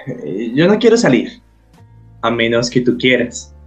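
A man playing a shy, tender with a hesitant, gentle manner in a radio drama. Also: medium pitch, sing-song, measured pace, faint music behind. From Colombia. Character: shy, tender Colombian man hosting a companion.

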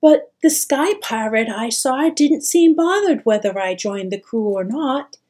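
A vulnerable, bewildered young boy from a fantasy tale, played by a woman reader. His voice is high and a little breathy, with a gentle, nervous, questioning way of talking. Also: lively intonation, unhurried. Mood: hopeful.

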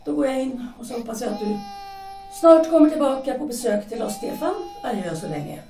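A harried young mother, played by a woman in an audio drama, scolding in a strained voice. Her voice is high. It comes from a distance in a roomy, echoing space. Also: expressive, mellow, lightly acted. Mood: weary. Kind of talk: amateur audio drama acting. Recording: echoey room, distant from mic.

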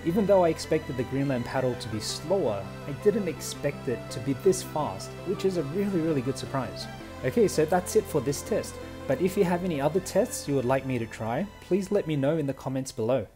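A man's voice: a little high, animated. Man narrating a kayak test over music. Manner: measured, explanatory. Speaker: kayaking vlogger. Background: faint background music.

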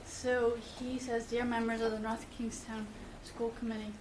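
A middle-aged woman reading a letter aloud at a school meeting, steady and careful, with occasional fillers. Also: on the high side, moderate pace. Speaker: parent speaking at public comment. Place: meeting room with audience. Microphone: far room camera. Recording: echoey room, distant camera, background noise.

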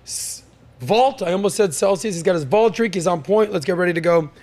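A man hosts a casual podcast panel in a fairly high voice. He sounds upbeat and jokey and keeps stumbling into fillers and restarts as he runs through the show. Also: lively intonation, a little fast, a little mellow. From United States. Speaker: podcast host.

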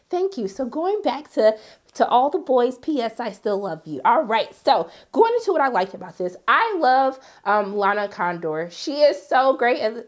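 A young woman reviewing a film in a sassy, animated way, her voice strained from being sick. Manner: sassy, animated, jumps high for quoted asides. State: sick, voice partly lost. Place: indoor studio, graphic backdrop.

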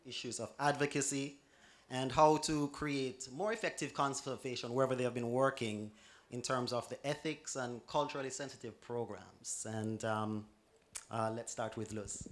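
A man moderating a conference panel, speaking in a measured way with fillers into a handheld mic. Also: medium pitch, fairly even intonation. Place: conference room with audience.

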